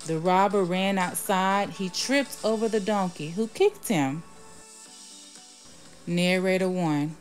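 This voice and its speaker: A woman reading a children's storybook aloud in a measured way, announcing each part before she reads it. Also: lowish voice, faint music behind. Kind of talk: storybook read-aloud, scripted narration.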